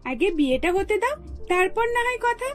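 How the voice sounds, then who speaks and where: Woman as a high-pitched stubborn young bride. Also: sing-song, fairly quick, faint music behind. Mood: petulant. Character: stubborn young bride-to-be who craves spicy food. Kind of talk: scripted cartoon dialogue.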